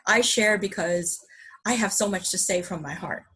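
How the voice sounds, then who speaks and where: Husky earnest woman presenter. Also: mid-range voice, moderate pace, fairly bright tone, poor audio. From Hawaii. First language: English. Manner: earnest, enthusiastic, asks rhetorical questions. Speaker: business presenter. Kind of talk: motivational presentation.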